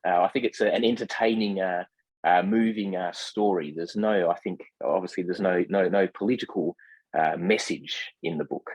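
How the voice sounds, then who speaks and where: Low, husky-voiced man, hesitant and scholarly. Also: steady tone, darkish tone, no room sound, rough recording. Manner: hesitant, measured, frequent ums between phrases; formal, erudite wording. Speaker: literary translator, podcast guest. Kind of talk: scholarly literary discussion.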